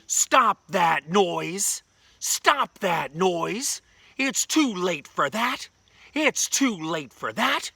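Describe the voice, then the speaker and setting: A man voicing irate townspeople in a children's story, hollering loudly and slowly. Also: high voice, sing-song, vocal fry, dry recording, voice pushed up, heavily acted. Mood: angry. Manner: loud drawn-out hollering.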